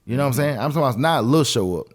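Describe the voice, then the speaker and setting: An opinionated man chatting on a podcast in a medium-pitched voice. He speaks casually and drives points home by repeating his phrases with emphasis. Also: expressive, rapid-fire. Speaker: podcast co-host.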